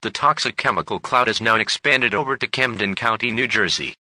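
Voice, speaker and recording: A man's voice: on the low side, fairly quick, pushed and bright, dry recording. A synthetic-sounding male announcer reading a formal, scripted emergency alert.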